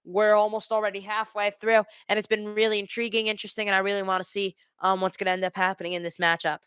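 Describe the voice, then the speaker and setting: A woman with a clear, smooth voice commentates a hockey game live. She mixes play-by-play with casual chat as a watch party host. The sound is dry and close, on a headset mic. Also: mid-range voice, level tone, fast, a bit bright, no room sound.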